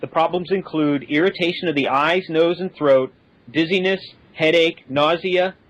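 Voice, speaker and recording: A man's voice: a little high, moderate pace, dry recording, lo-fi sound. A man speaking as a podcast guest, explaining things informally. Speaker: podcast guest, space simulation specialist.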